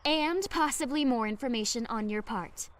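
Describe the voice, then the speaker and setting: A woman's voice: on the high side, lightly acted. A woman playing a determined but fragile young survivor leader in a dramatic audio drama. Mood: firm, controlled. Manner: insistent, halting when shaken.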